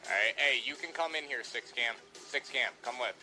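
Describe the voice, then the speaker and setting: Male game streamer, average pitch, informal squad-leader delivery with repeated orders, music behind. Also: moderate pace, bright, forceful tone. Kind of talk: casual in-character gameplay chat.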